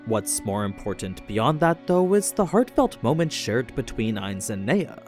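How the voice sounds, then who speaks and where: A man reading scripted narration in a measured explainer manner, as for a recap video. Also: mid-range voice, sing-song, a little mellow. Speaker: narrator of an anime lore recap show. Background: background music.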